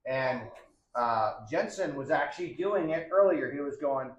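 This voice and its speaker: A man with a low bass voice explaining singing registers to a class, ending his points with "right?" and demonstrating as he goes. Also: animated, measured pace. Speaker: singer demonstrating technique. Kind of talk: explaining with sung examples. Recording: roomy, dull sound with faint background noise.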